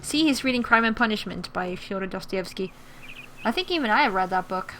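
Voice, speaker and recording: A woman's voice: mid-range voice, lively intonation, brisk, fairly bright tone. Girl doing casual game commentary, mixed american-british accent, pretty noisy audio. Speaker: gaming video host. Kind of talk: casual game playthrough commentary, reading game text aloud.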